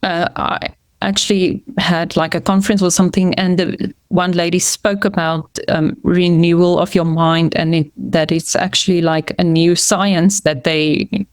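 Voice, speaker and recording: Hesitant Afrikaans-speaking woman, smooth voice. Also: fairly low pitch, steady tone, measured pace, no echo, studio quality. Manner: hesitant, many fillers and restarts. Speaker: podcast guest. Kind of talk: casual conversational interview.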